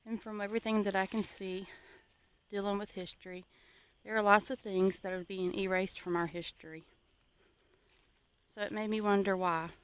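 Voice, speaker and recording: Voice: mid-range voice, steady tone, normal speed, fairly bright tone, clear, resonant tone, no echo, low-quality recording. Plainspoken homesteader from the United States, dry sound. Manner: earnest, plainspoken, advisory. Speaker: homesteading vlogger. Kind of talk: informal reflective monologue to viewers.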